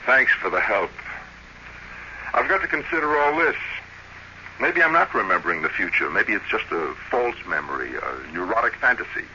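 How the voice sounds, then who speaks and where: A man acting a troubled middle-aged official in therapy, halting and overwrought, in a muffled, noisy 1950s radio drama. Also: fairly low pitch, big pitch swings, sharp tone, exaggerated voice. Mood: distressed. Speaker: therapy patient.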